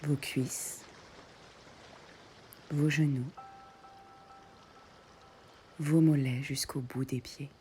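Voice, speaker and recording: A woman guiding a meditation in soft, breathy short phrases with long pauses, often close to a whisper. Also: extremely low pitch, steady tone, slight background noise. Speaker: yoga nidra meditation guide. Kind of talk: guided meditation.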